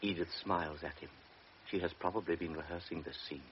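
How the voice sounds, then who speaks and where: A man narrating a radio drama in a measured, present-tense storytelling manner. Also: low voice, steady tone. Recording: dull old radio recording with faint hiss.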